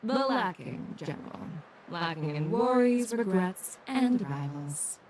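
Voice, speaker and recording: A woman's voice: medium pitch, expressive, slight background noise. An actress voicing a famed, self-assured female general in an anime-style video game, breezy and wry with a teasing manner. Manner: playful, unhurried confidence, wry. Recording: loud game music behind.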